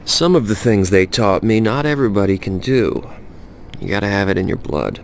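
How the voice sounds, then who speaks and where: A man's voice: fairly low pitch, lively intonation, normal speed, a little hiss or hum. An actor playing a cynical, cocky young male assassin who narrates a video game in a crude, sardonic way, speaking straight to the listener. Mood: boastful, wry. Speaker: narrator and protagonist. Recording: loud music over the voice.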